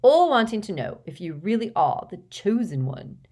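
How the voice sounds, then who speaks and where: A woman's voice: mid-range voice, big pitch swings, moderate pace, mellow, voice pushed up. Storybook teenage lad, played by a woman: cheeky, blunt, casual.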